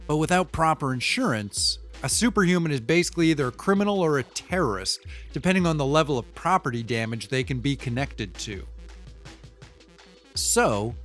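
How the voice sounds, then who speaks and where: A man's voice: fairly high pitch, lightly acted. A man playing a cynical, pragmatic business type in an audio drama monologue, matter-of-fact, opinionated and conversational as he explains things.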